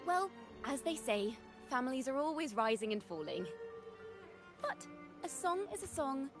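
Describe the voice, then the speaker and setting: A woman's voice: sits high, big pitch swings, measured pace. A woman voicing a breezy, playful young female bard in a video game, with a theatrical, teasing storyteller's manner. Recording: game music behind the voice.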